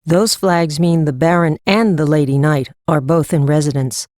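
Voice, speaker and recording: Brisk, wry woman trainer played by an actress. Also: low-pitched, fairly even intonation, a little mellow, no room sound, studio quality, close to an everyday voice. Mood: brisk, advising. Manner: brisk, matter-of-fact. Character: brisk, wry woman horse trainer, seasoned and dry-humored. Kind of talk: full-cast audiobook character acting.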